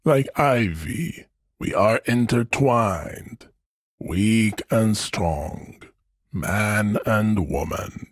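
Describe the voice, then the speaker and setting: An actor playing an arrogant hyper-masculine strongman philosopher in a video game, lecturing with pompous, deliberate condescension. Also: lowish voice, lively intonation, no echo, studio quality, full character voice. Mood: grandiose, lecturing. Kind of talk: video game character acting.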